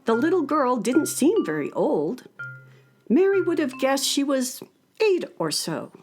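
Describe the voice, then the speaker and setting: Unhurried, high-pitched woman storyteller. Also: very animated, a little mellow, faint music behind. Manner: storytelling, wonder-filled. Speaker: narrator. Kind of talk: scripted children's story narration.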